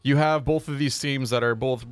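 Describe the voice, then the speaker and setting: A young man co-hosting a sports show in a studio, telling stories in a loud, emphatic, big voice. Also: medium pitch, steady tone, moderate pace, clear, resonant tone. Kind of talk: casual chat. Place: small TV studio, indoors. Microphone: desk mic on boom arm.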